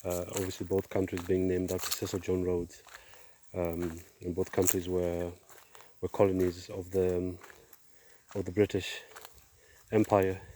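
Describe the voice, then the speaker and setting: A middle-aged man giving a personal testimony outdoors, speaking in a halting, measured way with pauses. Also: sits low, little pitch movement, dark tone, a little hiss or hum. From Zimbabwe, born in Zambia. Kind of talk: personal testimony, casual monologue. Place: outdoors, on a walk.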